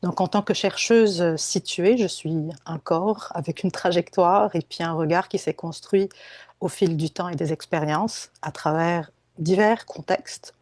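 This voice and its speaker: A woman's voice: on the low side, measured pace, a little mellow, no echo. A middle-aged woman from Tunisia giving an academic talk over a video call, careful and hesitant, with many fillers and restarts. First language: Arabic. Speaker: guest lecturer, anthropologist. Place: home or office on a video call.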